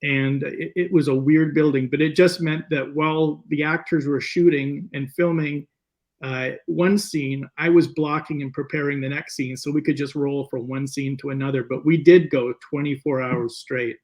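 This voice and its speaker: A man speaking as a guest filmmaker, telling stories in a casual, animated interview style. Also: fairly high pitch, normal speed.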